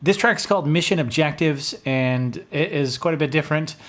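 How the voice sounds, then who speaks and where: A man's voice: on the high side, moderate pace, a bit bright. A man in his thirties talking casually to viewers as a music producer, in an informal, rambling, self-deprecating way, close on a studio mic. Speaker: music producer presenting own track. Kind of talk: casual video talk to viewers. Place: small home studio room, indoors. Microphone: large studio condenser microphone, close.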